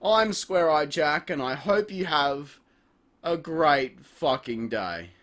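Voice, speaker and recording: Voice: mid-range voice, animated, slow, a bit bright. A reviewer giving scripted comedic narration in a sarcastic, exasperated, sweary and theatrical manner. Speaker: YouTube video reviewer.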